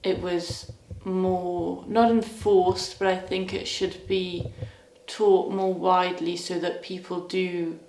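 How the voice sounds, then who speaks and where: Slow, gravelly-voiced young woman. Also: fairly low pitch, echoey room, a little hiss or hum, rough recording. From Wales. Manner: hesitant, thoughtful, searching for words. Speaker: interviewee. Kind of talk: reflective interview answers.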